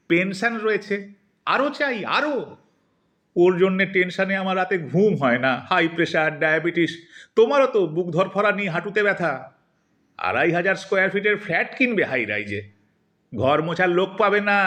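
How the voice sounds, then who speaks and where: Man acting a high-pitched, animated henpecked Bengali husband. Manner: hesitant pleading turning indignant. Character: henpecked, stubborn retired Bengali husband and caring father. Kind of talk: Bengali audio drama acting.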